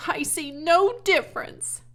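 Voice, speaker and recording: A woman voicing a passionate, indignant eight-year-old farm girl in a storybook, pleading and protesting loudly. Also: extremely high pitch, takes it slowly, voice pushed up, full character voice.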